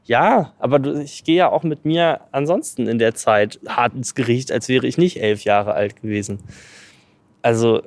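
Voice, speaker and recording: Man, twenties. Casual, self-doubting, hesitant talker; mid-pitched, recorded dry. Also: moderate pace, dry recording. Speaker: podcast guest telling a personal story. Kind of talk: casual chat.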